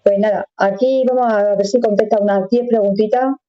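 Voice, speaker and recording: A woman hosts an interview, chatty and encouraging, tossing in little tag questions. Her voice sits at a middle pitch, and the recording sounds dull and lo-fi. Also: steady tone, normal speed. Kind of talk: friendly casual interview.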